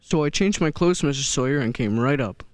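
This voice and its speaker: A performer playing a kindly, unflappable elderly man who believes he is Santa in a radio play, genial and gently probing. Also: medium pitch, lively intonation, a little fast, over music, lightly acted. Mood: genial, confident.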